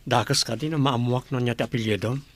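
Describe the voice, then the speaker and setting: A man's voice: mid-range voice, fairly even intonation, quick pace, no echo, lightly acted. An actor playing a kind, attentive young working man in an Ilocano radio drama. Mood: curious.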